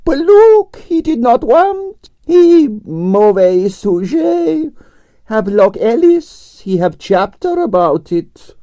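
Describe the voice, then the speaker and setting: An imperious old ballet mistress in falsetto. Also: male voice, very animated, slow, mellow, no echo, full character voice. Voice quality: high, fluttering falsetto with French-sprinkled broken English. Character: shriveled arrogant elderly ex-ballerina, imperious Russian. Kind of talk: audiobook reading.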